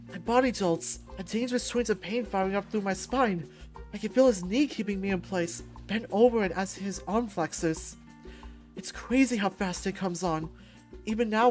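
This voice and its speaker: A woman's voice: mid-range voice, darkish tone. Woman narrating a story over music. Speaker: reader of a visual novel. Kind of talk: expressive first-person story narration.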